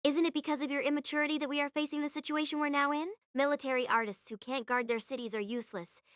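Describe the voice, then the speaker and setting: High-pitched, hot-tempered girl fighter. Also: fairly even intonation, fast, a bit bright, no room sound, clean studio sound. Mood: contemptuous. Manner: confrontational, accusatory. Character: hot-tempered red-haired young female military student, scornful. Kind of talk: anime-style audio drama acting.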